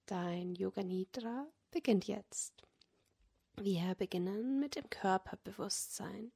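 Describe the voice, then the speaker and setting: A woman leading a guided yoga nidra relaxation as a meditation guide, speaking in a gentle, soothing manner that often drops close to a whisper. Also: mid-range voice, slow, vocal fry, slightly rough. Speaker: meditation guide and podcast host.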